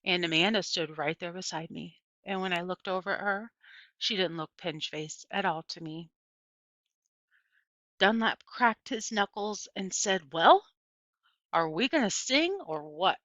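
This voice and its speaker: A woman's voice: fairly low pitch, a little slow, sharp tone, no room sound. A woman reading a first-person children's novel aloud as scripted narration. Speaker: audiobook reader. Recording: dull, lo-fi, dry close recording.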